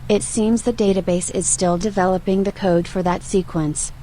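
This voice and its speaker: A woman voicing a polite, watchful archive AI in an audio roleplay, speaking in a formal, measured, robotic manner. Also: mid-range voice, steady tone, mellow, a little hiss or hum, lightly acted, rough recording. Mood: polite, detached. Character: female-voiced archive AI, polite, composed, coolly watchful.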